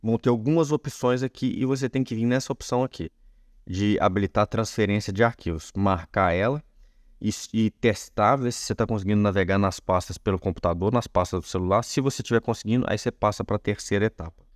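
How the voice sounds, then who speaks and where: A man's voice: fairly low pitch, fairly quick, no room sound, clean studio sound. A man with a Brazilian accent hosting a casual step-by-step tech tutorial, explaining informally and speaking directly to viewers.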